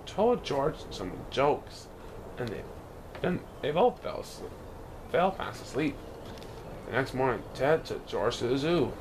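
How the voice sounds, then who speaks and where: A man reads a children's storybook aloud with a lively, swinging intonation. His pitch is medium and he stumbles on the odd word. The recording has clear background noise. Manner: animated storytelling, occasional stumbles over words. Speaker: storybook reader.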